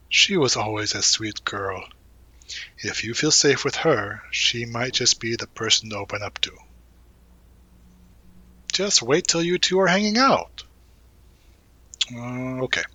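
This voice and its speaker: A man playing a supportive, gently teasing young college guy in an intimate audio roleplay, talking casually and reassuringly with hesitant pauses and murmured asides. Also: medium pitch, dry recording, full character voice. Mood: reassuring, encouraging, then playful, teasing. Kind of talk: intimate audio roleplay, one-sided conversation.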